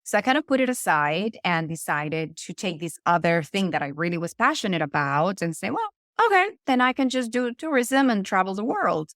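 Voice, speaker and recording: A woman's voice: medium pitch, very animated, moderate pace, few pauses, a bit bright, no echo, clean studio sound. A middle-aged woman from Venezuela with a Venezuelan Spanish accent, talking earnestly as a podcast guest and often restarting her phrases. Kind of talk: conversational interview.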